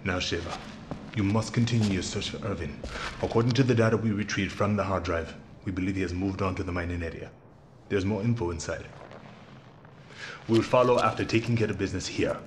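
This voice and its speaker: A man playing a calm, authoritative army captain and mentor, speaking formally in a video game cutscene. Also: low voice, a little hiss or hum, natural voice. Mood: calm, instructing. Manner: measured, formal. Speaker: game supporting character. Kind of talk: dramatic game cutscene acting.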